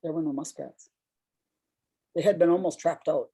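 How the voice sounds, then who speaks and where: A woman's voice: low voice, fairly even intonation, moderate pace, warm tone, breathy edge. A woman with a big voice, telling personal stories on a discussion panel in a reflective, rambling way.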